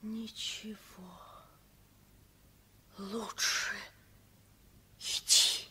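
An actress playing a frail, frightened old lady with a halting, breathy voice in a vintage radio drama. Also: mid-range voice, flat delivery, pushed and bright, slight background noise, heavily acted. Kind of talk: 1960s radio drama acting.

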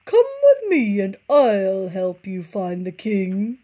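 A woman reading a storybook aloud and voicing a sly male fox who coaxes slowly in a drawn-out, oily way. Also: mid-range voice, very animated, warm tone. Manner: slow, drawn-out, oily coaxing. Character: sly, smirking male fox, smooth-talking.

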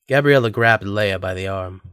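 A man's voice: fairly low pitch, normal speed, a bit bright, clean tone, dry recording, studio quality. A man narrating a scripted audio drama as its storyteller.

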